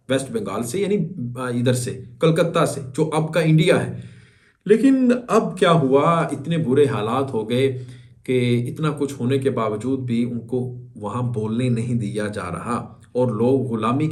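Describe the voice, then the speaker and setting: Pakistani guy doing a reaction vid, fired up and preachy, mid-pitch voice. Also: darkish tone. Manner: indignant, emphatic lecturing. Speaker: reaction video host. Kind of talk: news reaction commentary.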